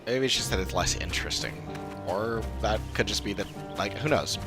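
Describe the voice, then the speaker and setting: A man's voice: lowish voice, normal speed, music in the background. A man chatting casually on a live stream as he plays a game, musing and trailing off. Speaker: streamer playing a game and voicing its dialogue.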